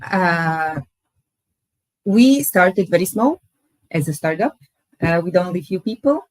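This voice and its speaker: A woman presents her employer to a webinar audience, speaking in a low register. She hesitates often, filling her pauses with small sounds. Her voice comes through a video call, dry and close with no room sound. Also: expressive, a little mellow, dry recording, poor audio. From Croatia. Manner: hesitant, many pauses and fillers. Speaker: company recruiter presenting.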